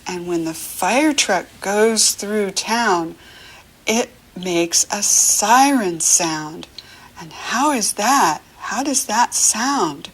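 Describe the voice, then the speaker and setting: An American woman reading a picture book to young children as a lesson, encouraging and animated, asking questions as she goes. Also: mid-range voice, very slow, breathy edge, poor audio. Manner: encouraging, asks questions and leaves room to answer; animated, sing-song child-directed talk.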